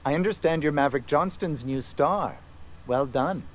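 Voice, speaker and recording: A man's voice: fairly high pitch, normal speed, slight background noise, lightly acted. An actor playing a polite, deferential male shopkeeper.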